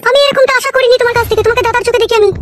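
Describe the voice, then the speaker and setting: Flustered cartoon housewife: chipmunk-high, racing delivery, coaxing and fretful mother. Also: fairly even intonation, rapid-fire, noisy background. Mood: wary. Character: flustered village wife and mother, shrill, pleading. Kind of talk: comedy cartoon dialogue.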